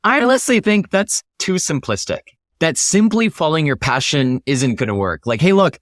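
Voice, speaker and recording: A man with a slightly high voice talks as a guest in an interview. He explains ideas conversationally and emphatically, often posing questions to make his point. The sound is dry and close, with little room. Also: very animated, moderate pace, fairly bright tone, dry recording. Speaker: interview guest, startup founder.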